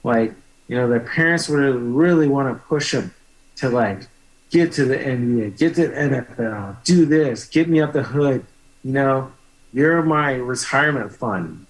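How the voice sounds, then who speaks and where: A man speaking as a guest on a talk show, halting and filling pauses with "like" and "you know". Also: mid-range voice, poor audio. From United States. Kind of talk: casual interview talk.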